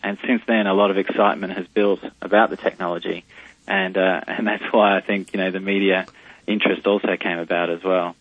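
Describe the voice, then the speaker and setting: Low, husky Australian man on a phone line. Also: level tone, brisk, no echo. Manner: hesitant, many fillers and restarts. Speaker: company CEO, interview guest. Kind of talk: phone interview on radio. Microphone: telephone line.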